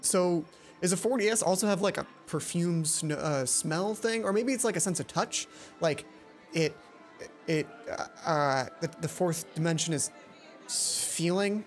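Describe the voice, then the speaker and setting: A woman reading a visual novel aloud as a let's play host, expressive and given to puzzled asides. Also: fairly low pitch, unhurried, soft background music. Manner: expressive storytelling, self-questioning asides. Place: indoor gaming commentary recording with loud game music behind.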